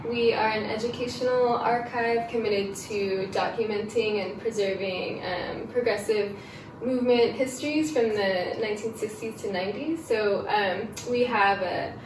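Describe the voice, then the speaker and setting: A woman archivist explaining her work as an interview guest in a casual, somewhat tentative, halting way. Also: medium pitch. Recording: roomy, distant mic with clear noise.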